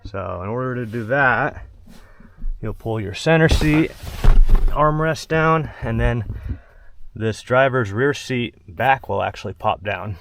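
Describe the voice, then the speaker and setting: A man's voice: mid-range voice, takes it slowly, fairly bright tone. A man narrating a casual how-to while working in and around a parked truck, plain-spoken and instructional, in short practical phrases. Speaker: presenter of a truck installation how-to. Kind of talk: casual hands-on tutorial narration. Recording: clear background noise, clicks of handling.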